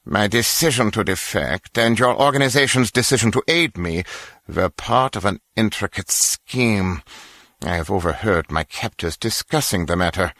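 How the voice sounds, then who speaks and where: An actor playing an earnest captive scientist in a video game, with a German accent and formal, precise phrasing. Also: male voice, fairly low pitch, lively intonation, normal speed, fairly bright tone, no echo, studio quality.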